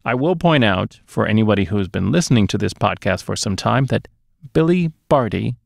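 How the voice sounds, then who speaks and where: Guy hosting a movie podcast, lots of vocal fry, dry close mic, chatting casually. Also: on the low side, animated, moderate pace, dry recording. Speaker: podcast host. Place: small dark home studio, indoors. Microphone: close podcast microphone on boom arm.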